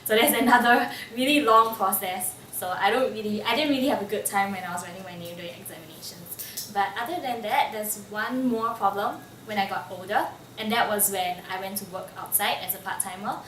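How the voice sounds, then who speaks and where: A woman's voice: medium pitch, brisk, hardly any pauses, a bit bright, slight background noise. A woman, casually telling personal stories and full of "like" fillers. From Singapore. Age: 20s. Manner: chatty, lots of "like" fillers. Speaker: interviewee. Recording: roomy room, mic at a distance, faint ambience.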